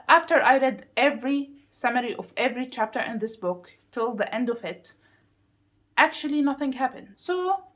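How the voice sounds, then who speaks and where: A woman with a somewhat high-pitched voice talks informally to her viewers in a reviewer's video monologue. She is emphatic, often repeating a word or phrase to stress her point. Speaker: book reviewer vlogger.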